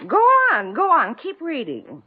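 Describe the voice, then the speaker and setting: A woman's voice: on the high side, wildly swooping pitch, measured pace, husky, heavily acted. An actress playing a dry, sardonic, teasing rooming-house landlady who lands punchy lines in 1950s radio comedy style. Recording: dull old-time radio recording.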